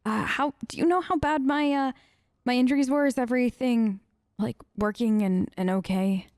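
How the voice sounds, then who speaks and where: A woman gamer role-playing her character's dialogue off the cuff in a hesitant, halting, conversational way. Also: a little high, steady tone, a little mellow, no echo, clean studio sound. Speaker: gaming let's player. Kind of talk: improvised in-character role-play of game dialogue.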